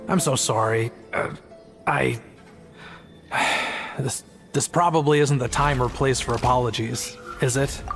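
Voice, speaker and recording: A man's voice: mid-range voice, vocal fry, over music. A man voicing a weary, apologetic family man in a video game, hesitant and resigned. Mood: guilty, resigned. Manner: halting, sighing, hesitant.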